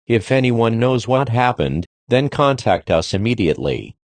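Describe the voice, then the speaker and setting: A man's voice: mid-range voice, very animated, moderate pace, darkish tone, no room sound. A man reading a scripted emergency alert as an announcer.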